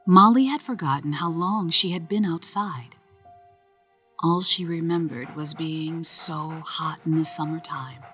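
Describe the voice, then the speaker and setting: Female narrator for a kids' comic read-aloud: slightly low voice, gentle and expressive, faint music underneath. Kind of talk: scripted children's story narration.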